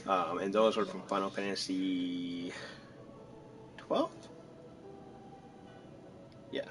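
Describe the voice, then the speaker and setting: A man's voice: low-pitched, steady tone, takes it slowly, background noise. A man giving casual gameplay commentary, rambling and joking as he thinks aloud. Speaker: gamer commenting on a playthrough.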